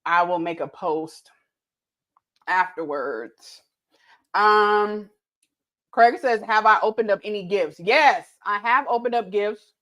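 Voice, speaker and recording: A woman's voice: mid-range voice, takes it slowly, pauses often, a bit bright. A woman, chatting casually on her cooking livestream, reading viewer comments aloud and laughing easily, a little tipsy. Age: late 30s. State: tipsy from mimosas.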